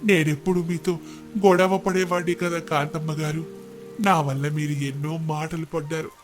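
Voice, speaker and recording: A man's voice: on the high side, little pitch movement, moderate pace, over music, close to an everyday voice. A man playing a remorseful, humbled elderly village husband in a moral story, apologetic and earnest. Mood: ashamed. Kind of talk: moral storybook character dialogue.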